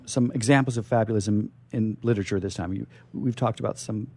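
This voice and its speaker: A man hosting a casual radio interview, speaking haltingly and repeating his phrases as he goes. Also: fairly low pitch, steady tone, mellow, slightly rough.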